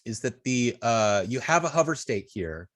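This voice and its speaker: Male instructor, mid-pitched voice, informal coding walkthrough, hesitant, thinking aloud, dry sound. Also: animated, moderate pace, fairly bright tone, no echo. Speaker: web design instructor. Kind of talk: informal hands-on coding lesson, reviewing student work.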